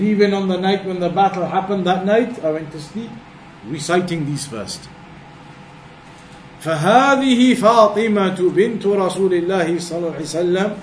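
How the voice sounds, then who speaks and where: Male religious instructor, high register, reciting and explaining in measured phrases; reverberant room recording with some background noise. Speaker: religious teacher giving a class. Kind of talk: religious lesson, reciting Arabic then translating and explaining.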